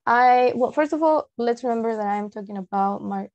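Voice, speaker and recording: A woman's voice: fairly high pitch, moderate pace, darkish tone. A young adult woman from Peru, a native Spanish speaker with a Peruvian Spanish accent, giving an informal, explanatory online presentation. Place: indoor room, on a video call. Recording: dull, lo-fi video call audio.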